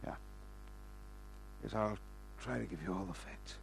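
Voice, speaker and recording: A man's voice: fairly low pitch, creaky, faint music behind, low-quality recording. An actor playing a wounded, desperate man on the run, stammering weakly in an old radio crime drama. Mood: weary, halting. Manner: halting, stammering, pleading. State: weak, in pain.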